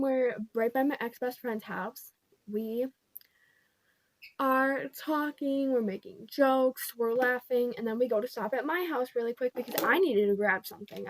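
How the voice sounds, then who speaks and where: A young woman with a somewhat high, congested voice tells a story to her channel. She rambles and circles back, leaning on "like" and "pretty much". The sound is close and dry, with no room around it. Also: no echo. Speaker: vlogger. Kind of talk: casual storytime.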